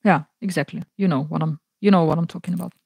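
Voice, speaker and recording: A young woman with a naturally low voice, chatting casually with viewers between songs in a small home studio, speaking softly and haltingly into a close boom mic. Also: steady tone, gravelly, breathy edge, dry recording. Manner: soft, halting, many fillers. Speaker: singer hosting a live music stream. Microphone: large broadcast mic on a boom arm, close.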